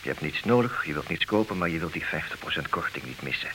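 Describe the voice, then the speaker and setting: Anxious, wry husband for vintage radio play; lively melodic range, theatrical, slight tape hiss. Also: male voice, extremely low pitch, quick pace. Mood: resigned, wry. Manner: theatrical, wry, swinging between agitated and resigned. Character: resigned, wry betrayed husband, anxious and talkative. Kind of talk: Dutch radio play acting, comic drama. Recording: hissy old radio play recording.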